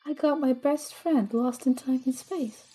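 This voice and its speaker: A woman's voice: high voice, fairly even intonation, measured pace, mellow, husky, soft background music, poor audio. A young woman playing an anxious, studious tech whiz student in a fantasy audio drama, speaking with earnest precision. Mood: panicked, guilty.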